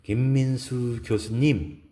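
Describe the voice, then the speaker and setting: A man voicing a poised, gracious businesswoman in a romance audio drama, speaking politely and softly with measured elegance. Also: mid-range voice, steady tone, lightly acted. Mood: admiring, poised. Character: poised, gracious woman executive in a senior romance.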